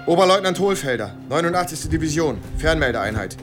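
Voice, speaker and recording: A man's voice: on the high side, animated, brisk, lightly acted. Cornered young soldier, music underneath man acting. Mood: guarded. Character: cornered young American soldier John, anxious, pleading, posing as German officer. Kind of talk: radio drama acting and first-person narration. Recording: studio radio play with music underneath.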